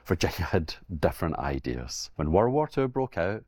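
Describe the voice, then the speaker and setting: A man telling a historical story in a steady, explanatory way. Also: sits low, moderate pace, dry recording, clean studio sound. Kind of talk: storytelling narration.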